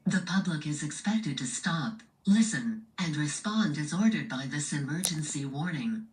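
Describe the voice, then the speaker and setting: A woman reading a formal scripted emergency alert in a measured, impersonal announcer manner. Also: sits low, animated, a little mellow, echoey room, slight background noise, poor audio.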